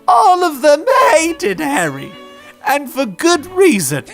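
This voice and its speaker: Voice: sits high, huge pitch swings, slow pace, over music. A storyteller narrating a scripted comic audio story.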